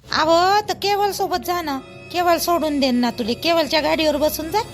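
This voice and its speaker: A woman's voice: high-pitched, normal speed, faint music behind. A woman voicing a chatty, nosy but helpful older village housewife in a cartoon, speaking colloquial Vidarbha Marathi full of exclamations. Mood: helpful, coaxing. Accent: Varhadi Marathi, Vidarbha. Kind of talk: rural family cartoon dialogue.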